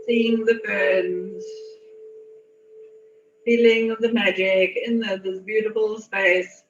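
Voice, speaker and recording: A woman leading a guided meditation in a soothing, unhurried manner with long pauses. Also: mid-range voice, steady tone. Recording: muffled, echoey, distant, music behind. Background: meditation music.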